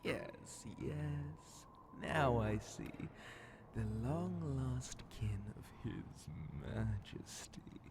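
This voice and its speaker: A man voicing a sly, menacing demon Grand Vizier of hell in a breathy, theatrical half-whisper for an ASMR horror fantasy roleplay. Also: mid-range voice, lively intonation, noisy background, exaggerated voice. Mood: wary, courteous. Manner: slow, theatrical, drawn-out, mockingly polite.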